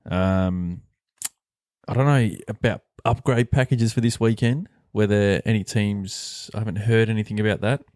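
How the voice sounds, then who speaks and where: Deep-voiced man hosting casual sports chat. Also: fairly even intonation, normal speed, darkish tone, no echo, clean studio sound. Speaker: podcast host.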